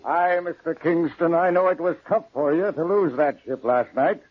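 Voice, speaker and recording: A man's voice: a little high, normal speed, phone-quality sound, loud music behind the voice, close to an everyday voice. A man playing an earnest, defensive sea captain in an old-time radio drama, speaking in formal nautical phrases. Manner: formal nautical speech with "aye".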